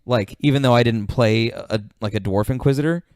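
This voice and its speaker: An easygoing man hosts a casual group podcast in a very dry, close recording. His voice sits at an average male pitch as he passes along suggestions and offers his opinions. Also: fairly quick, darkish tone, no room sound. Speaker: podcast host reading out viewer suggestions.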